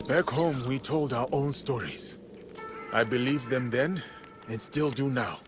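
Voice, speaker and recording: A man's voice: medium pitch, measured pace, natural voice. Man playing a steadfast samurai over music. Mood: thoughtful. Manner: composed, formal, earnest. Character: steadfast, honorable male samurai warrior, calm and loyal. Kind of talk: video game voice acting.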